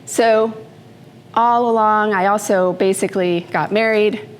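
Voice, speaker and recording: A woman in her fifties from the Philippines, giving a personal talk to a live audience in an unhurried, conversational way with fillers and asides. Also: mid-range voice, steady tone, slight background noise. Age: 50s. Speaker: speaker at a morning talk. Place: event room before an audience. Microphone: handheld microphone.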